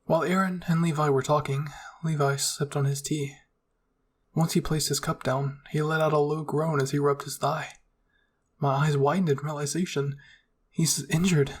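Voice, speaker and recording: Male narrator for ASMR fan fiction, higher-pitched, husky tone. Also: fairly even intonation, moderate pace.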